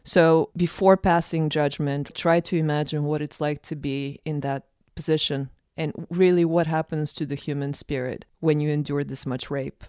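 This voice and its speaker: Woman from bulgaria, documentary maker, emphatic and reflective, crisp voice. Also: deep voice, steady tone, moderate pace, few pauses, darkish tone, no room sound, clean studio sound. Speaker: documentary filmmaker. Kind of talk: interview answers.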